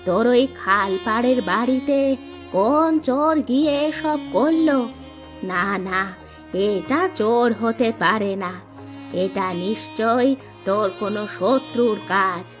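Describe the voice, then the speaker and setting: Woman acting a slow-talking kindly old granny. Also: a little high, faint music behind. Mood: shrewd, advising. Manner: slow, concerned, advising. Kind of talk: Bengali moral-story cartoon dub.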